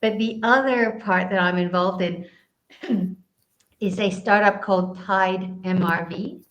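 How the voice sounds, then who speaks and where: A woman's voice: medium pitch, a little slow, muffled recording. A woman from Southeast Asia, a guest answering interview questions in an earnest way, explaining at length with frequent ums.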